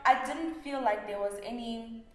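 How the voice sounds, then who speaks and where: A woman's voice: fairly high pitch, normal speed. Woman, born in South Africa, casual chat, says "like" a lot, roomy sound. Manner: animated, laughs often, uses "like" a lot. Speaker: guest, financial planner. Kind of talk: casual group conversation. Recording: echoey room.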